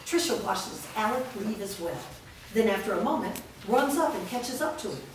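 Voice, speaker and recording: A woman narrating stage directions in a staged script reading, reading them clearly and evenly. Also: medium pitch, lively intonation, moderate pace. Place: large indoor studio room. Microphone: far camera. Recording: echoey room from far off, noisy background.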